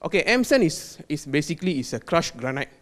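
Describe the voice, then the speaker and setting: A man from Malaysia with a Malaysian accent, a quality control manager giving a technical product presentation, halting with fillers and restarts and dropping in Malay words. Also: a little high, very animated. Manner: hesitant, many fillers and restarts.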